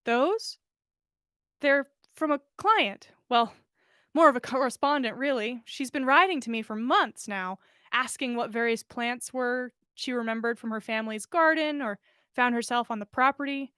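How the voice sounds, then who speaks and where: A woman's voice: on the high side, normal speed, bright, forceful tone, clean tone, no room sound, studio quality. A woman reading the part of a shy, earnest young man in a story, hesitant and modest. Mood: explaining.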